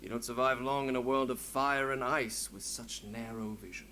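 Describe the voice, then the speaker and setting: An actor playing a genial, open-minded old friend in a video game, wry and conversational with ornate wording. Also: male voice, medium pitch, fairly even intonation, moderate pace, bright, forceful tone, a little hiss or hum, lightly acted.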